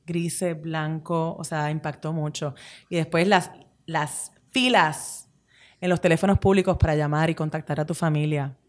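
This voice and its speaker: Una mujer de unos cuarenta años con acento puertorriqueño habla en una entrevista, gesticulando y mezclando frases en inglés. Su voz es algo grave para una mujer y suena cercana, captada por un micrófono de mesa en un estudio pequeño. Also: female voice, deep voice, moderate pace. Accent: Puerto Rican Spanish. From Puerto Rico. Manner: expressive, talks with her hands, mixes in English phrases. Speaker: guest, presenter and actress. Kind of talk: candid interview conversation. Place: small studio with a table. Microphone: table mic on a stand.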